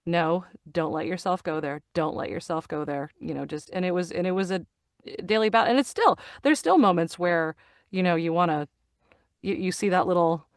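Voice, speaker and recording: Earnest woman with a smooth, dry studio voice. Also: fairly low pitch, no room sound. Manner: earnest, halting, repeats herself while searching for words. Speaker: guest, voice actor and audiobook narrator. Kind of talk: casual interview chat. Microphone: studio microphone.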